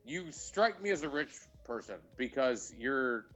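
A man's voice: medium pitch, lively intonation, measured pace, a bit bright, faint music behind, rough recording. A man co-hosting a casual talk show, giving his opinions in a blunt, emphatic way full of swearing. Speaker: podcast co-host. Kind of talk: casual, heated opinion chat.

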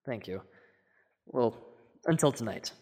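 A young man playing an outwardly polite but sinister villain in a classic radio drama, courteous and deliberate, recorded with long reverb. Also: mid-range voice, expressive, darkish tone, a little hiss or hum, lightly acted. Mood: friendly. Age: young adult.

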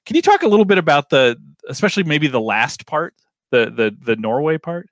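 A man's voice: fairly high pitch, very animated, a little fast, no room sound, studio quality. A man hosting a casual film discussion, talking informally and loosely, rambling his way into questions and points. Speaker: discussion host.

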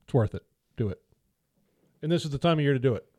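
A man on a podcast spins a rambling, self-deprecating story in a mellow, darker tone. His voice sits at a middle pitch. The studio sound is dry and close. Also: animated, quick pace, no echo. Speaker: podcast co-host. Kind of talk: casual storytelling chat. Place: small podcast studio around a table.

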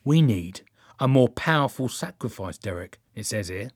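A man's voice: medium pitch, expressive, moderate pace, slightly rough, no room sound. A man voicing a matter-of-fact, scheming female cult leader in a comic audiobook, in a dry, polite and understated manner. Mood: dry, resigned.

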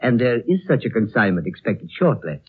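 An actor in a 1930s radio drama playing a courteous, evasive lamasery host, speaking in formal, measured phrases. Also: male voice, medium pitch, sing-song, husky. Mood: serene, courteous. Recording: old muffled radio recording, music behind.

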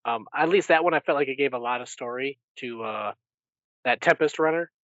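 A man's voice: medium pitch, fairly quick, a bit bright, no echo, lo-fi sound. A man co-hosting a podcast, talking casually through a recap of books. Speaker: podcast co-host. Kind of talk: casual book recap discussion.

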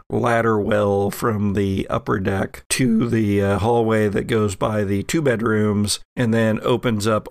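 A man runs a horror tabletop game as its narrator. He speaks slowly and descriptively, with a slightly creaky, mid-pitched voice. The sound is dry and close. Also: no echo. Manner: measured, descriptive storytelling. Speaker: game master.

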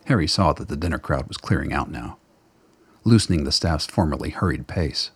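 Very deep, gravelly male radio drama narrator. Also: expressive, fairly quick, no echo. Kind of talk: scripted literary radio play narration.

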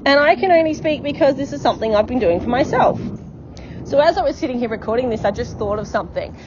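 A woman's voice: sits high, expressive, fast, crowd noise. Encouraging woman coach, slightly muffled recording. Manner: encouraging, repetitive, frequent "okay?" check-ins. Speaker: life coach. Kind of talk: motivational podcast monologue.

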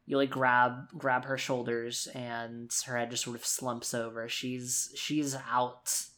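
A man's voice: mid-range voice, flat delivery, slow pace, pushed and bright. A man improvising narration as a tabletop game player, hesitant and often circling back over his phrases.

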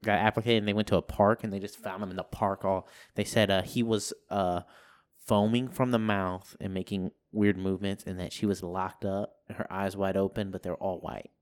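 A man's voice: sits low, level tone, moderate pace. A man hosting a casual podcast, rambling and crude, joking with friends and using lots of fillers. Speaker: podcast host. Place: home studio.

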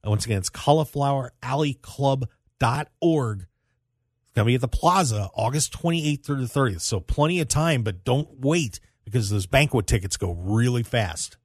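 A man's voice: mid-range voice, lively intonation, moderate pace, no room sound. Man hosting casual talk radio, close studio sound. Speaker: radio show host.

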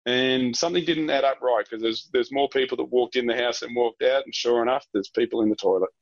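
A man's voice: mid-range voice, fairly quick, no room sound, muffled recording. A man with an Australian accent chatting casually as an interview guest, answering questions. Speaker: guest, real estate director and auctioneer.

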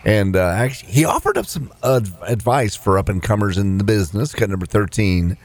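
A man co-hosting a morning radio show, reading a news item in a relaxed, wry way. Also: fairly low pitch, fairly quick. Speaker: radio co-host. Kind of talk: casual radio banter.

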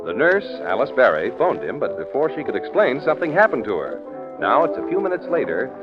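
An American man announcing in 1940s radio style, narrating and pitching in a folksy, conversational scripted way. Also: huge pitch swings, a little fast, over music. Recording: muffled vintage radio recording.

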